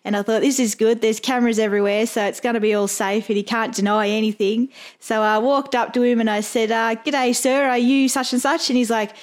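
A woman's voice: on the high side, fairly even intonation, fairly quick. A woman with an Australian accent, casually telling work anecdotes as a podcast host. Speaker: podcast host, private investigator.

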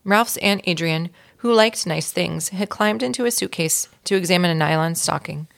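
A woman guest reader giving expressive narration of a children's storybook. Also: lowish voice, moderate pace, creaky.

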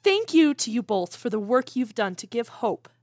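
A woman's voice: fairly high pitch, very animated, normal speed, darkish tone, dry recording, clean studio sound. A woman playing a poised, sympathetic TV interviewer with polished, formal presenter phrasing in an audio drama. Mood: warm, formal. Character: poised, sympathetic female TV news interview host. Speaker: TV interview host in a fiction podcast. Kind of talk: broadcast interview acting.

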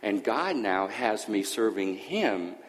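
A man's voice: fairly low pitch, very animated, takes it slowly, long reverb tail. A man born in Greece sharing his personal testimony at a church, talking conversationally with hesitant fillers.

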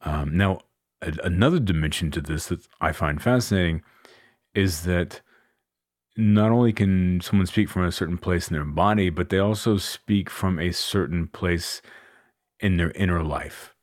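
A man with a very low, resonant chest voice talks through his thoughts on a solo podcast, unhurried and musing, with plenty of fillers. The recording is close and completely dry, with no room sound at all. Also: no echo. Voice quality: deep chest voice. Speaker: podcast host and coach. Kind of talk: reflective solo podcast talk.

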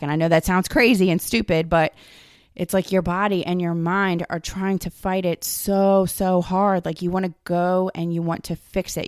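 Slightly raspy, hoarse woman with a cold, lowish voice and dry close sound, loose conversational solo podcast talk. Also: no room sound. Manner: loose, conversational, many "like" and "kind of". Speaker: podcast host.

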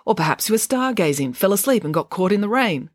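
A woman's voice: mid-range voice, sing-song, fast, dry recording, studio quality, pitched above the performer's own voice. A woman voicing a clever, analytical schoolgirl detective in an audiobook, blunt and matter-of-fact.

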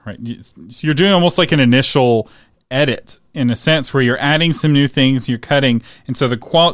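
A man's voice: mid-range voice, no room sound, studio quality. A man hosting an interview, explaining points emphatically and laughing easily. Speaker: interviewer host. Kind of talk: interview with coaching asides.

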